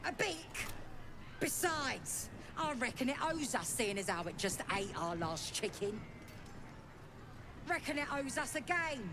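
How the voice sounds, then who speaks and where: A woman's voice: fairly high pitch, big pitch swings, a little slow. An actress playing a cocky female goblin in a video game, with a working-class British accent and a sly, mocking, colloquial delivery. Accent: British, working-class English. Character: cocky, taunting female goblin running a chicken-chasing game. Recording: clear background noise, game ambience.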